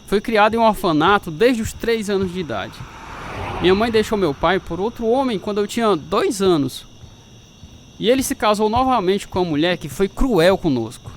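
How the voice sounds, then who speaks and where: Earnest young sailor convert, Brazilian accent, very lively intonation, radio drama, faint outdoor noise. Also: male voice, high-pitched, normal speed. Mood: reflective.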